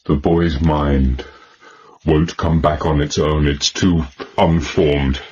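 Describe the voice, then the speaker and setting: A man's voice: extremely low pitch, fairly even intonation, slow pace, darkish tone, muffled recording, a little hiss or hum. An actor playing an arrogant, defiant male villain under threat in a radio drama, with intense delivery. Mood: cornered, desperate.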